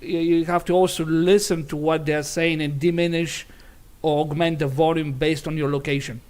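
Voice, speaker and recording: A man, a pianist on a jury panel, giving critique in a halting way with frequent restarts. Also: a little high, flat delivery, a little mellow. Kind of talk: spoken critique.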